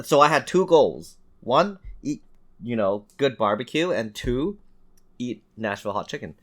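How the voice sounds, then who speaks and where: A man telling stories in casual, animated podcast banter as a host, with some swearing. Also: fairly low pitch. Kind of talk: casual chat, storytelling.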